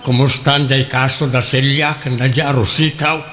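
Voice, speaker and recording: A man playing a serious older husband in a radio drama, speaking in a measured, advising way with an Ilocano accent. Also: mid-range voice, steady tone, loud music behind the voice, close to an everyday voice.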